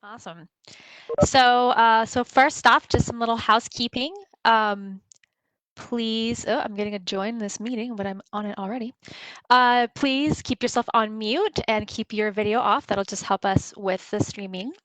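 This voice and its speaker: A woman's voice: fairly high pitch, moderate pace, fairly bright tone, no room sound, low-quality recording. A woman in her thirties from Costa Rica, giving a friendly webinar presentation with frequent fillers.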